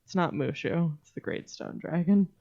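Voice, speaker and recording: Casting: female podcast co-host, relaxed joking conversation, a little muffled on the recording. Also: on the low side, normal speed. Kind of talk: casual joking discussion.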